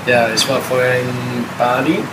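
A man in his thirties, born in Italy, answering questions as a guest on a casual travel podcast, with many pauses and filler sounds. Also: mid-range voice, level tone. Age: 30s. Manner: hesitant, many filler sounds, mixes English words. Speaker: interview guest, backpacker. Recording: loud background noise.

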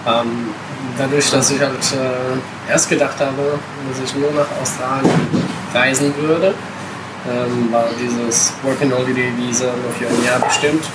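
A man in his thirties, born in Italy, talks as a podcast guest about his travels. He pauses and fills gaps with sounds, now and then dropping in English words. A loud air-conditioning hum and background noise sit under his voice. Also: medium pitch, steady tone. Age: 30s. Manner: hesitant, many filler sounds, mixes English words. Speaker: interview guest, backpacker. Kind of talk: casual podcast interview.

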